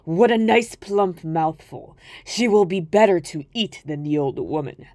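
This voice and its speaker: A woman's voice: fairly low pitch, lively intonation, a little slow, mellow, no echo, studio quality, voice pushed down. A woman voicing a crafty, hungry male wolf in a storybook, coaxing and scheming. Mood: greedy, scheming.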